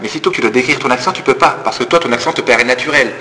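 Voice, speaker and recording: Fast-talking bright French man, echoey. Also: medium pitch. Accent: Lorraine French. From Lorraine, France. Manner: explains at length, playful. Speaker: discussion participant. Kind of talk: casual chat. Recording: echoey room, distant reverb, faint noise.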